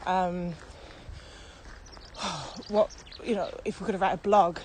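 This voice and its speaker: A woman's voice: fairly low pitch, takes it slowly. A woman co-hosting a podcast in casual, conversational chat, thinking aloud as she talks. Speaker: podcast co-host. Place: walking outdoors across open fields. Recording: outdoor recording with steady ambient noise. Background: outdoor ambience.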